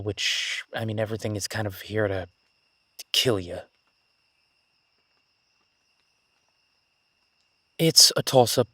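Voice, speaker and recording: Man playing an excitable, nerdy young academic explorer, dry sound. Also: fairly low pitch, dry recording. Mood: conversational, wary. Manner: gushing, stammering, apologetic. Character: excitable, nerdy young male academic on his first jungle expedition. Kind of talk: immersive roleplay audio drama, one-sided conversation.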